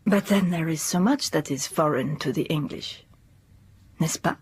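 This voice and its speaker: An actress playing a worldly, cynical madame in a period audio drama, with a husky voice and measured, sardonic delivery. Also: female voice, sits low, a little mellow. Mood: cool, sardonic. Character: worldly, cynical foreign madame, coolly watchful. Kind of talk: period radio drama acting.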